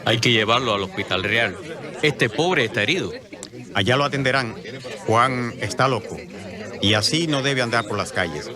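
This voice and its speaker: A man's voice: medium pitch, moderate pace, crowd noise, lightly acted. A man voicing street passersby, urgent and matter-of-fact.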